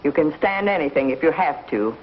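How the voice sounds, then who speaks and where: A woman's voice: deep voice, animated, brisk. Hard scolding frontier woman, blunt country talk, old tv western, dull hissy sound. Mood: disapproving, blunt. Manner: blunt, rustic grammar, scolding. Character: stern, hardened pioneer woman, disapproving and blunt. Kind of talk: 1950s TV western acting. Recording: muffled, noisy 1950s TV soundtrack.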